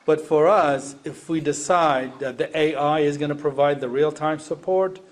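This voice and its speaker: Man, higher pitch, from Iraq, panel discussion, emphatic repetition, rhetorical questions. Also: moderate pace. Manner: emphatic, repeats phrases and poses rhetorical questions. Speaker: education panelist, former science teacher. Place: live panel event.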